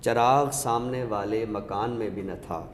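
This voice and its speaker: A man with a Pakistani accent, giving a literary talk with recited verse in a measured, explanatory way with pauses. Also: on the low side. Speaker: host of an Urdu literature show. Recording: echoey reverberant room, faint noise.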